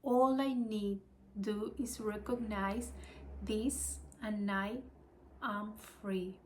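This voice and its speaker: A woman whose first language is Spanish, with a Spanish accent, reading spiritual lessons slowly and hesitantly, adding her own reflections. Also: medium pitch, fairly even intonation, slight background noise. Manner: hesitant, apologetic, with small laughs and restarts.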